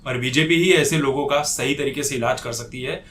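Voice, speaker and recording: A man's voice: medium pitch, normal speed, fairly bright tone. A man giving an opinionated political commentary monologue in an indignant, accusatory manner. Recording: echoey room.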